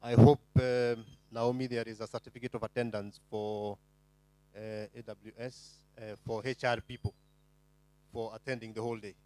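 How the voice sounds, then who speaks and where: A man's voice: mid-range voice, level tone, dark tone, rough recording. Easygoing male HR consultant. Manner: easygoing, slow and careful, lots of ums. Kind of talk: panel discussion.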